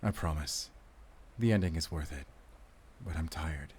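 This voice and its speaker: A man playing a weary, protective werewolf hunter in an audio roleplay, earnest and soft-spoken. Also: a bass voice, unhurried, a little hiss or hum. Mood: tender, tired. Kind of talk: intimate cinematic audio roleplay.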